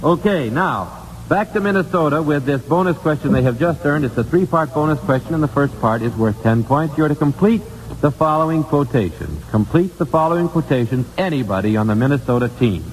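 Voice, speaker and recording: A man's voice: fairly high pitch, lively intonation, fairly quick. A man hosting a 1950s live radio quiz, chatty yet formal and courteous in his delivery. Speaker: radio quiz show host. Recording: old radio broadcast, long reverb, faint noise.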